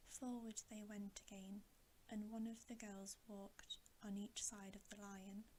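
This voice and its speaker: A woman whispering a storybook narration in a hushed, gentle ASMR style. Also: medium pitch, flat delivery, unhurried, slight background noise. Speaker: ASMR channel host reading a bedtime story.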